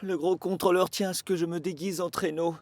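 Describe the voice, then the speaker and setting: Un homme jouant une petite voiture timide. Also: male voice, high-pitched, little pitch movement, a little fast, no room sound, close to an everyday voice. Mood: timid. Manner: hesitant, stammering. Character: timid male little car, unsure.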